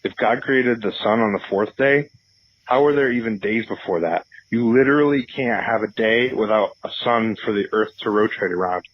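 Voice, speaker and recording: Man playing a husky, cocky radio caller. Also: fairly low pitch, normal speed, no room sound, lightly acted. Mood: smug arguing. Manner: dismissive, sneering. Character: cocky, crude, belligerent male atheist caller. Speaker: phone-in caller. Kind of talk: comedic argumentative call-in. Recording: slightly dull phone-in sound.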